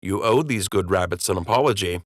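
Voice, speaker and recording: A man voicing a wise, dignified rabbit king who speaks in a measured, warmly stern way in an audiobook. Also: low-pitched, dry recording, studio quality, natural voice. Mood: gently stern.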